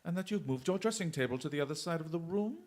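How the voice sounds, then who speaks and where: An actor playing a brilliant, restless gentleman detective in a radio drama, with a grandly eloquent, quick-witted manner. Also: a little high, expressive, lightly acted. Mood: brisk, teasing.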